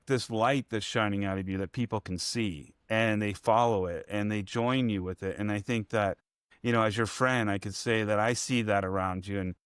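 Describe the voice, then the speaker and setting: A man's voice: on the low side, clear, resonant tone, no echo, clean studio sound. A man hosting an interview, speaking in a reflective, rambling, motivational way. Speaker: podcast host. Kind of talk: conversational interview.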